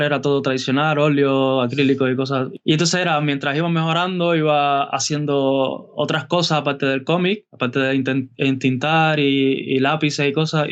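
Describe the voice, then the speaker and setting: A man from Cuba with a Cuban Spanish accent, a guest illustrator answering interview questions in an unhurried way with fillers and restarts. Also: on the high side, a bit bright, smooth, dull audio. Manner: unhurried, many fillers and restarts, light laughs.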